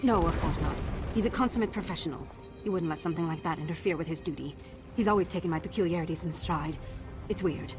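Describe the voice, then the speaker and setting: An actress playing a wry, guarded undercover agent in a video game, with a dry, clipped delivery. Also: female voice, fairly low pitch, fast, few pauses, lightly acted. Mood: awkward, worried. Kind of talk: video game character acting. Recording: prominent music behind the voice.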